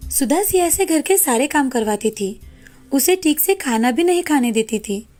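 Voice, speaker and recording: A woman's voice: sits high, lively intonation, normal speed, mellow, faint music behind. A woman storyteller reading scripted moral-story narration.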